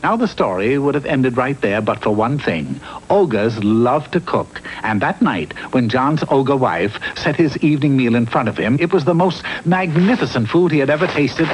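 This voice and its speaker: A man's voice: mid-range voice, expressive, moderate pace, close to an everyday voice. Man as a wry cartoon fairy-tale narrator, noisy recording. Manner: wry, storybook cadence. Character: genteel, whimsical fairy-tale storyteller.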